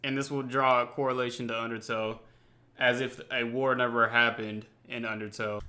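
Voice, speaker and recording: A man's voice: mid-range voice, flat delivery, a little fast. A man hosting a reaction video with slangy, conversational off-the-cuff commentary. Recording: loud music behind the voice.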